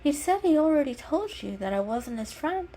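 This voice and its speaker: A woman voicing a friendly, bookish female house cat in a storybook reading, with an eager, warm and inquisitive manner. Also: sits high, big pitch swings, moderate pace, pitched above the performer's own voice. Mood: confused, questioning.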